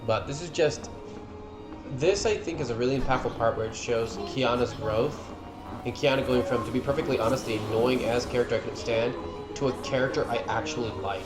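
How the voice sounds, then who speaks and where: A man's voice: mid-range voice, fairly quick. A man giving casual, informal commentary over game cutscenes, rambling as he goes with some mild swearing. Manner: informal, rambling, mildly profane. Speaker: gaming video host. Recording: roomy echo, game music behind.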